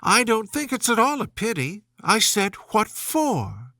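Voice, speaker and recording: Man voicing a high, plucky storybook girl. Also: huge pitch swings, unhurried, pushed and bright, no echo, clean studio sound, full character voice. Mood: earnest. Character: Alice, plucky, curious young girl.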